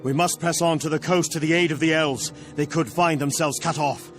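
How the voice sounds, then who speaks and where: An actor playing a stern, devout army captain in a fantasy video game, grave and commanding. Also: male voice, fairly high pitch, steady tone, moderate pace, a bit bright, close to an everyday voice. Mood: wary resolve. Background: faint game music.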